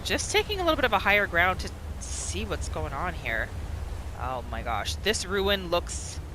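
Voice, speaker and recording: A woman hosting a gaming video, giving casual, expressive commentary as she reacts to the game. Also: lowish voice, normal speed, background noise. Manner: expressive, exclaiming.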